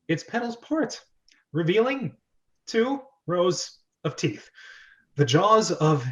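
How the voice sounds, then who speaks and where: Guy with a kinda high voice hosting a script read, jokey theatrical delivery, muffled lo-fi sound. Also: sing-song, slow pace, fairly bright tone. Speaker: host and script reader. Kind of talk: informal screenplay read-aloud with casual asides. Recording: dull lo-fi audio.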